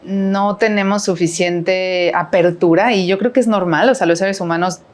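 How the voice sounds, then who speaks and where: Chatty Mexican woman, lowish voice. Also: steady tone, normal speed. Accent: Mexican Spanish. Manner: rambling, many fillers and tag questions. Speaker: podcast guest, HR professional. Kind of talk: casual conversational interview.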